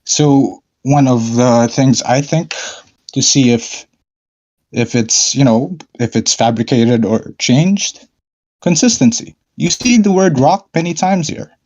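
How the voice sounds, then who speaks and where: A man calling into an online discussion, speaking hesitantly with many fillers and frequent throat clearing. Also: mid-range voice, expressive, slow, a little mellow, muffled recording. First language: not Arabic. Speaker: caller. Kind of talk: casual debate call-in.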